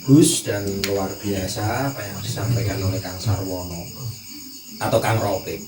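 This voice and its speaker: Casting: male host, Javanese accent, lowish voice, moderating casually, picked up from a distance. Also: steady tone, normal speed. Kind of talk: informal talk moderation. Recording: distant echoey recording, faint insect noise.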